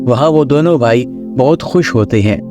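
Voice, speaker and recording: A man's voice: mid-range voice, normal speed. A man reading scripted narration of a children's story as the storyteller. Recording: music under the voice.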